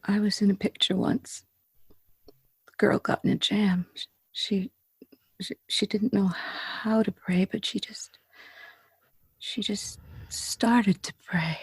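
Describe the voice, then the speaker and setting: A woman playing a shaken, demanding yet fragile Hollywood actress in 1940s radio drama style, husky and hushed, with slow dramatic pauses. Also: medium pitch, fairly even intonation, no room sound, whispered, full character voice. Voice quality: husky, breathy.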